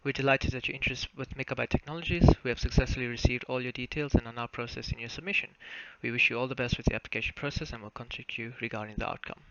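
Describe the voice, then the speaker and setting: A young South African man giving a formal, step-by-step narrated walkthrough of software. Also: medium pitch. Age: young adult. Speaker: student software developer presenting a demo. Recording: muffled lo-fi mic, faint noise, clicks.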